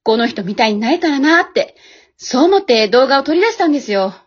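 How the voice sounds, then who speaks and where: Woman acting a modest housewife, slightly husky. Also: high-pitched, big pitch swings, fairly quick, highs cut off. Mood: grateful. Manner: humble, polite, hesitant. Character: modest, earnest housewife YouTuber losing heart, speaking politely. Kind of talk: amateur radio drama acting.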